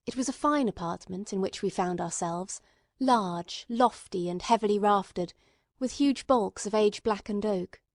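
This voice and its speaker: A woman's voice: mid-range voice, moderate pace, no room sound, clean studio sound. A woman reading scripted literary narration as an audiobook narrator.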